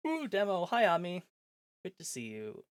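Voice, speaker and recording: A man streaming a visual novel, reading its text aloud and chatting between scenes in an animated, self-mocking, jokey way. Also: high-pitched, normal speed, clear, resonant tone, dry recording, clean studio sound. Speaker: game streamer. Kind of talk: live-stream chat, reading a game aloud.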